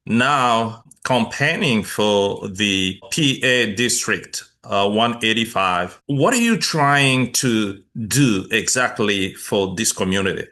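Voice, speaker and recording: A man's voice: lowish voice, expressive, takes it slowly, hardly any pauses, sharp tone. A male show host asking interview questions in a formal way. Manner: formal, introducing the guest.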